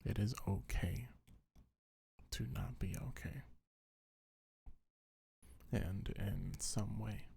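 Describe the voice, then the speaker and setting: Male, low-pitched, heavy vocal fry, soft half-whispered ASMR, very breathy and husky, gentle and reassuring. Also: steady tone, very slow, long pauses. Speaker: ASMR creator reading a comfort script.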